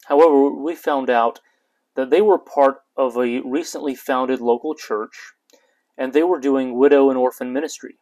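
A man in his twenties, born in Ukraine, hosting his own podcast and telling his life story in a measured, earnest monologue with light hesitations. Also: mid-range voice, darkish tone. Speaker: podcast host. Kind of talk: personal testimony podcast monologue.

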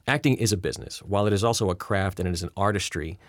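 A man's voice: low-pitched, no echo, studio quality. A middle-aged man from Rhode Island, an actor talking earnestly and thoughtfully as an interview guest, with no regional accent. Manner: earnest and reflective, drawn-out words. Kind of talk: relaxed interview talk.

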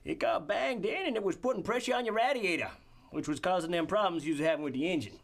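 A man's voice: high voice, quick pace, creaky. A man playing a folksy, foreboding country mechanic with a rural American accent in an audio drama. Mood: matter-of-fact. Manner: drawling folksy grammar, blunt warnings.

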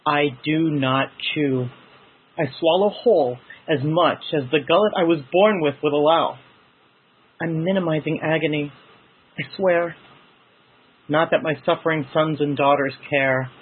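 A phone-like, narrow recording of a man reciting poetry dramatically. His voice sits a little high and is husky, with deliberate, theatrical phrasing. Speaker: poetry reader. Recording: husky, muffled phone-like audio.

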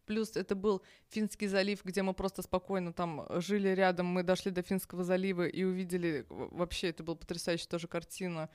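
A woman guest chatting casually, telling a travel story quickly with many restarts. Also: lowish voice, smooth, no echo, studio quality. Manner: fast, lively storytelling with many restarts and laughs. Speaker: podcast guest. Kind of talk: casual conversation.

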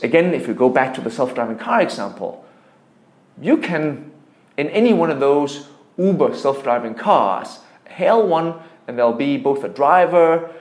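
A man with a Danish accent explaining things in an interview as a startup founder, emphatically and through examples. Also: medium pitch. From Denmark. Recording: echoey room.

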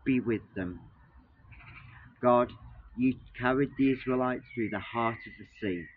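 A man reads a litany prayer very slowly and solemnly. His voice is thin and phone-like, with a faint hiss behind it. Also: mid-range voice. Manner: solemn, measured. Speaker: prayer leader.

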